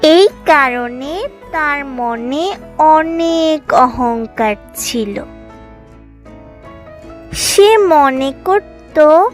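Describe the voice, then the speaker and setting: A woman with a high, childlike-pitched voice narrates a moral tale for children. She reads as a scripted storyteller at a very slow pace, with music playing behind her. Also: animated.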